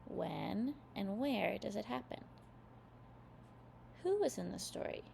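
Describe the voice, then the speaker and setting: A woman narrating a children's read-aloud, expressive and carefully phrased. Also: mid-range voice, takes it slowly, vocal fry, a little hiss or hum. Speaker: storyteller for young children.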